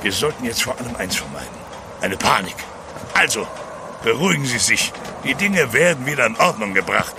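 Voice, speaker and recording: Gruff ship captain, creaky voice, firm and curt, radio drama w/ noisy outdoor background. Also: male voice, mid-range voice, sing-song, brisk. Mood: calm, firm. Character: gruff, authoritative ship's captain, level-headed. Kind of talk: German audio drama acting.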